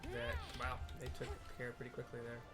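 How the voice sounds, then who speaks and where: A man's voice: mid-range voice, completely flat delivery, a little fast, loud music behind the voice. A man narrating a video game live, describing the scene informally for viewers. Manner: descriptive, informal, reads dialogue aloud.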